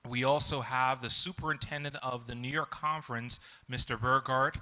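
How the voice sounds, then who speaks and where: A man's voice: medium pitch, moderate pace, poor audio. Man with a handheld mic in a church. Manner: relaxed, chuckling, many "uh" fillers. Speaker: school staff host introducing students. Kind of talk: casual church program introduction. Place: large church sanctuary.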